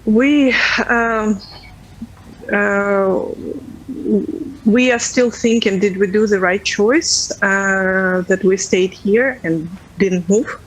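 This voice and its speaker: A woman's voice: mid-range voice, low-quality recording. Ukrainian woman, Russian-accented English. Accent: Russian-speaking Ukrainian. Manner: earnest, halting, frequent fillers. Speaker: interviewee. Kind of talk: remote news interview. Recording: faint background noise.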